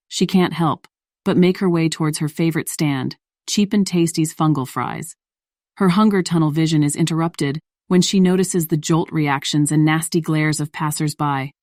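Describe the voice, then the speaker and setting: A woman's voice: low voice, a little mellow, no echo, clean studio sound. A woman reading scripted story narration in the role of narrator.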